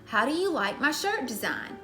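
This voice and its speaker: Woman voicing a high, timid young ewe. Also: wildly swooping pitch, moderate pace, bright, forceful tone, roomy sound, soft background music, exaggerated voice. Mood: meek, stammering. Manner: timid, earnest. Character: meek, creative young female sheep factory worker.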